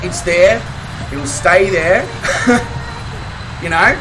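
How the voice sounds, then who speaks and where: An Australian man streaming a game, chatting casually with viewers in a croaky voice, rambling and chuckling between remarks. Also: high-pitched, expressive, slow pace, recorded from a distance, background noise. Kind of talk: casual chat with live viewers.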